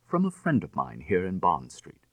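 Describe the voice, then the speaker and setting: A man voicing a suave, cynical gentleman burglar in an audiobook, with jaunty, teasing, old-fashioned gentlemanly slang. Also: low voice, huge pitch swings, normal speed, dry recording, exaggerated voice. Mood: cool, assured. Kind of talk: dramatised audiobook reading of classic fiction.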